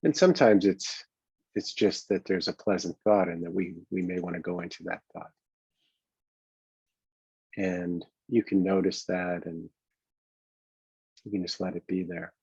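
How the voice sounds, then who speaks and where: A man with a very low, husky voice guides a meditation in a gentle, improvised way. He takes his time, halting and leaving long pauses between phrases. The recording sounds dull and lo-fi. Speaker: meditation coach leading a guided exercise. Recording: dull, boxy, roomy lo-fi sound.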